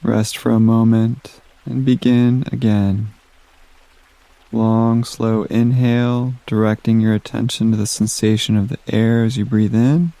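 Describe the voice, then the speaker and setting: Slow, mellow man guiding meditation. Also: fairly low pitch, level tone, vocal fry, dry recording. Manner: gentle, soothing, instructing with long pauses. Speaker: meditation guide. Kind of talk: guided meditation narration.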